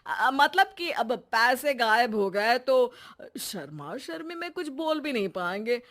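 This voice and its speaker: A woman puts on a sly, flirtatious husband from a comic cartoon. He talks slowly and stammers through bashful, flustered excuses. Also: on the high side, big pitch swings, fairly bright tone. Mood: flustered, wheedling.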